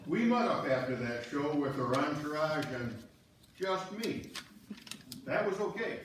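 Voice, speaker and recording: A man's voice: a little high, big pitch swings, unhurried. A man playing a plain-spoken, admiring husband in an amateur reader's theater, recorded from afar in a church. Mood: fond, matter-of-fact. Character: plain-spoken husband Murph, former broker, admiring his wife. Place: on stage in a church, far camera. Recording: very echoey hall, distant mic, noisy.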